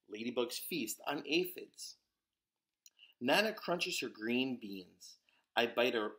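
A man reading a children's picture book aloud as a storyteller, with deliberate, dramatic emphasis. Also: medium pitch, fairly bright tone. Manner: deliberate, dramatic emphasis with occasional whispers and exclamations.

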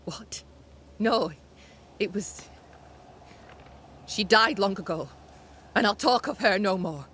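A woman playing a guilt-ridden, grieving younger sister in a dramatic video game, slow and anguished, with archaic phrasing. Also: medium pitch, fairly bright tone, a little hiss or hum. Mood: defensive, wounded.

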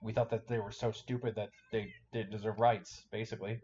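A man's voice: fairly low pitch, level tone, a little fast, darkish tone, dull audio, a little hiss or hum. A man giving casual, profane reaction commentary in a blunt, joking, rambling manner.

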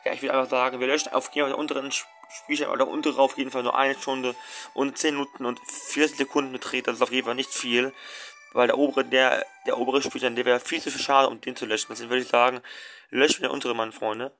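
Young male let's play host, mid-pitched, rambling with lots of fillers, faint game music underneath. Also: flat delivery, fast talker, fairly bright tone. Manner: rambling, many fillers and restarts. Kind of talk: casual let's play commentary.

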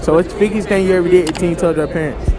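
A teenage boy with a somewhat high voice runs a casual interview at his school. He talks loosely in slang and speaks into a phone he holds up. Students chatter loudly behind him in the hall. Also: steady tone, very fast, music in the background. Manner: loud, slangy, laughing a lot. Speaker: host. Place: school hallways and gym, indoors. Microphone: phone held up to mouth. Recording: noisy with chatter of a crowd behind.

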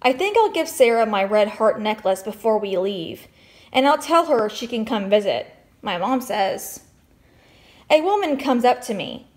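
A woman's voice: on the high side, animated, a little slow, darkish tone. A woman reading a storybook aloud expressively as a teacher, with explanatory asides and questions for children.

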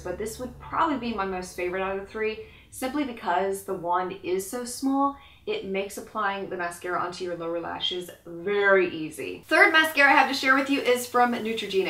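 A young woman talks straight to camera in a bubbly, emphatic way, reviewing beauty products. Her voice is bright and forward. The sound carries a roomy echo from a small indoor room. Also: medium pitch. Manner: bubbly, upbeat, emphatic listing. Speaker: beauty vlogger.

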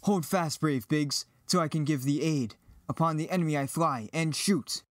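Guy as earnest young hero pilot doing shakespeare style verse, highish voice, dry sound. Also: normal speed, no room sound, natural voice. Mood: brave. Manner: declamatory, earnest. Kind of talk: dramatic reading in Elizabethan verse.

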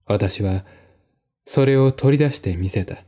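A man's voice: fairly low pitch, unhurried, dark tone. A man narrating a mystery story in the first person, as a dramatic literary reading. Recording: music behind the voice.

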